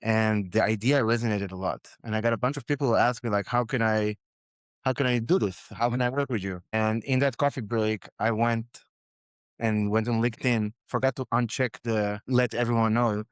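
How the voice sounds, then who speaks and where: A man from Israel, speaking as a founder guest, with a smooth, resonant voice at a middle pitch. He tells animated stories, full of fillers and restarts. The sound is close and dry. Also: normal speed, dry recording. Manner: animated anecdotes with fillers and repeated words. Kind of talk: conversational storytelling.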